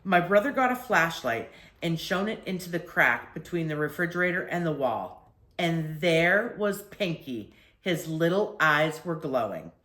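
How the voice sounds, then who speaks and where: An older woman reading a children's story aloud expressively, with deliberate phrasing and drawn-out emphasis. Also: sits low, expressive, fairly bright tone, roomy sound.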